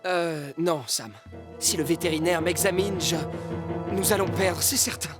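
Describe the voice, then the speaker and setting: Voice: medium pitch, measured pace, natural voice. A performer playing a cocky but easily rattled male fox who poses as a dog in a children's cartoon. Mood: worried. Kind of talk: French children's cartoon voice acting. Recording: cartoon music under the voice.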